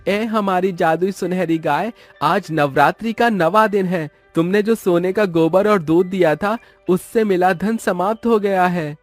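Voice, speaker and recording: A man playing a poor, devout young village brother in an animated moral story, earnest and reverent. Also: high-pitched, unhurried, few pauses, soft background music. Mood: pleading.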